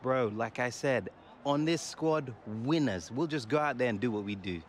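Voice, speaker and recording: A man acting as a confident star footballer in a sports game cutscene. He is slangy and boastful in a laid-back way, with a medium-pitched voice over faint outdoor noise. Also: normal speed, lightly acted. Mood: confident, relaxed. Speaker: player being interviewed.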